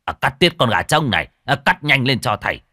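Man doing a quick-tempered old shaman for a spoken tale: loud, raised voice, dry close mic. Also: mid-range voice, sing-song, no room sound, pitched above the performer's own voice. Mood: bossy. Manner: barking orders, loud and gruff. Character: bossy older male village shaman, quick-tempered.

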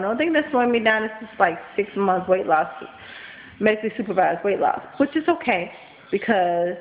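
Tired, nasal woman rambling on webcam. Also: mid-range voice. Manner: rambling, many ums and likes, talks fast. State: tired and congested from a cold. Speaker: vlogger. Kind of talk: casual webcam vlog. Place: small indoor room at home. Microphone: built-in webcam mic, close. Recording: dull lo-fi webcam audio with faint hiss.

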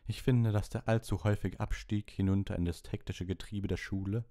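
A man voicing a thin, mystical woman who teaches divination in an audiobook, soft and smoky, making slow, solemn pronouncements. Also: lowish voice, steady tone, no echo, clean studio sound, pitched above the performer's own voice, close to an everyday voice. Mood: airy, solemn. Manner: slow, mysterious, otherworldly pronouncements. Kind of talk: audiobook character voice.

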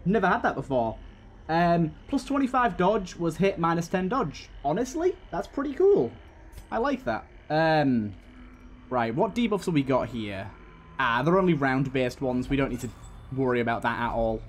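A man's voice: on the high side, sing-song, normal speed, slight background noise. A man giving casual gameplay commentary, thinking aloud through his tactics as he plays. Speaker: gaming channel host. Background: game music.

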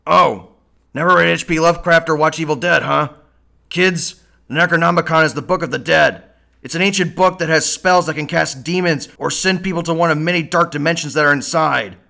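A man voicing a brash, cynical old scientist grandfather in a cartoon audio drama, gruff, stammering and foul-mouthed. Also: on the high side, a little fast, sharp tone. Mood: lecturing.

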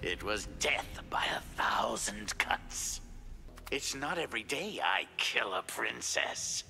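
Creepy masked slasher for a video game, low n gravelly, mocking, slow, music behind. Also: male voice, lively intonation, pushed and bright, whispered, full character voice. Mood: menacing. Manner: mocking, menacing, savoring words. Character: masked male slasher killer, sadistic, taunting, darkly playful. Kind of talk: video game villain dialogue.

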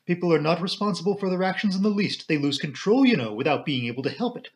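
Man putting on a plaintive society mother, high-pitched. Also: expressive, fast talker. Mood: self-pitying. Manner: plaintive, tearful, rambling, genteel. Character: plaintive, fretful, self-pitying middle-aged society mother.

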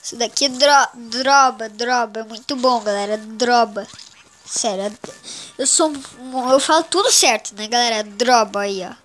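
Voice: mid-range voice, unhurried, fairly bright tone, slight background noise. A child hosting a casual mobile game commentary, sounding congested with a cold. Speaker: gaming channel host.

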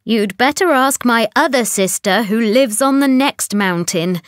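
A woman voicing a helpful old hag in a storybook, advising in a deliberate manner. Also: on the high side, animated, dry recording, studio quality. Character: old hag sisters on mountaintops, helpful fairy-tale crones.

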